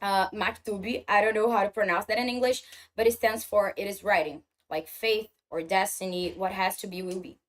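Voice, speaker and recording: Woman speaking halting English. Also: mid-range voice, fairly even intonation. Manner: halting, searching for English words. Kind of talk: casual vlog talk.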